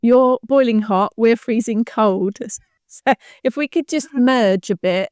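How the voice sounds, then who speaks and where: A woman hosts a conversational podcast. Her voice sits a little high and is recorded close and dry. She talks in a chatty, jokey way. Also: slow pace, darkish tone, no room sound. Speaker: podcast host.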